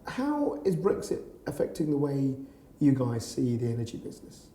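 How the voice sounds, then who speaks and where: A man's voice: medium pitch, sing-song, moderate pace, dark tone, echoey room, a little hiss or hum. A man interviewing a guest in a chatty, jokey way. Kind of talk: interview questions.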